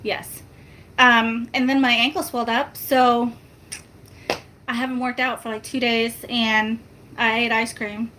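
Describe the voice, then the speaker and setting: Woman, soft-spoken, fairly high voice, chatty and self-mocking, faint background noise. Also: little pitch movement, measured pace, long pauses. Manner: chatty, rambling, self-mocking, laughs often. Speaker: family vlogger. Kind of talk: casual chat to viewers.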